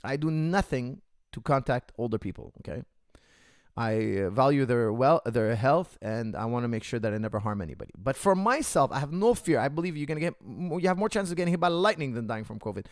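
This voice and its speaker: A man in a mid-range voice rants heatedly to his podcast viewers, circling back over the same points and calling them guys. His pitch swings widely as he argues. The sound is dry and close, with no room echo. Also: lively intonation, a little fast. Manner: heated, repeats himself, calls viewers guys. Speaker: podcast host. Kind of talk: casual opinion rant to viewers. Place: Canada.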